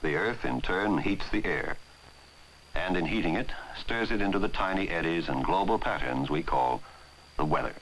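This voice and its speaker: A man reading scripted narration for a 1960s educational film in a measured, formal way. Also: sits low, slight background noise, rough recording. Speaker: documentary narrator. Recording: 1960s film soundtrack, loud music. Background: loud background music.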